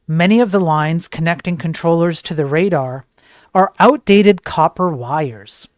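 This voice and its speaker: A man's voice: a little high, measured pace, dry recording, studio quality. A man giving opinionated tech news commentary on a livestream, emphatic and digressive, tossing in rhetorical questions. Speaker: tech news streamer and programmer.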